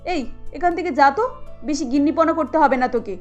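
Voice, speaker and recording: A woman's voice: high voice, a little fast, dull audio, soft background music, lightly acted. A woman voicing a haughty, irritable young wife in a cartoon, snappish and dismissive. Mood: sleepy, irritated. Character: haughty, irritable, lazy elder daughter-in-law.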